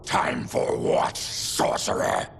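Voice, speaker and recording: A man playing a fierce, proud monstrous warrior in a video game cutscene, with a gravelly voice and blunt, indignant growl. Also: slow, very noisy, lightly acted. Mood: indignant, wary.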